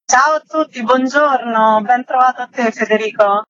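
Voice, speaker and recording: A woman talks earnestly as a radio interview guest, explaining her work. Her voice sits fairly high, recorded very dry and slightly dull. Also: normal speed, a bit bright, no echo. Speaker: interview guest, theatre director. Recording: dry, dull band-limited sound.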